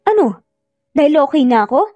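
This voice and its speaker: Female narrator as defiant hurt young woman, raised pitch, indignant, accusing, romance audio story, roomless dry sound, music underneath. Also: very animated, brisk, dry recording, highs cut off. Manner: indignant, accusing, sharp rhetorical questions. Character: bitter, wounded, defiant young woman confronting her ex.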